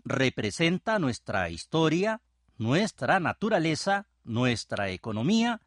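A man giving scripted educational narration with an expressive, patriotic delivery. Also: a little high, a little slow, no echo, studio quality. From Costa Rica.

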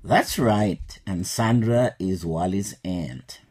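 A man, teaching an English lesson in a slow, patient scripted style. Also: low-pitched, a little mellow, slightly rough. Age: 60s.

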